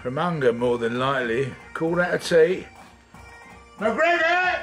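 A gruff, animated grumpy police inspector. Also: male voice, a little high, a little slow, faint music behind, heavily acted. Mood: sardonic. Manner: gruff, sardonic, bullying. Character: grumpy, greedy, slovenly older male English police chief inspector. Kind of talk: radio drama acting.

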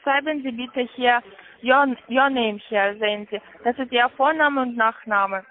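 A woman with a foreign accent in German, giving insistent, halting, repetitive step-by-step instructions as a call centre agent on a phone call. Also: on the high side, a little hiss or hum. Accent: foreign, non-native German. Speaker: call centre agent selling a trading account. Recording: tinny band-limited phone line.

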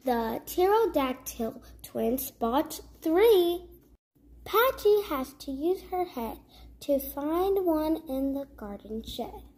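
High-pitched child reading a storybook slowly. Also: big pitch swings, darkish tone. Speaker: host of a story-time channel. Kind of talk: read-aloud of a children's rhyming picture book.

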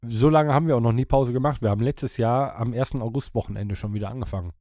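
A man's voice: medium pitch, fast talker, warm tone, no echo. A man co-hosting a podcast in casual conversation, recorded close to a studio microphone. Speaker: podcast co-host and band musician.

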